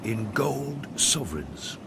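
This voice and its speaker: Shady sea captain, swooping intonation. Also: male voice, medium pitch, sing-song, slow pace, gravelly, noisy background, heavily acted. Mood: confiding. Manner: colloquial, evasive, hesitant when pressed. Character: shady, seedy, eager-to-please sea captain for hire. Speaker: radio drama actor. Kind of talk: radio drama dialogue.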